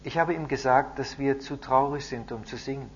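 A man voicing a sad, resigned old grandfather in exile, speaking in a subdued, measured way. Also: medium pitch, close to an everyday voice. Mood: sorrowful, resigned. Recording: muffled audio with hum and noise.

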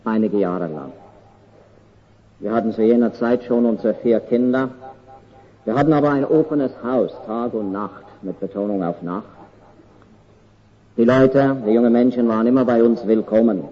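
An older man from Canada with an English accent, his first language English, preaching a sermon in an earnest, slow and deliberate way with halting pauses. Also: mid-range voice, highs cut off.

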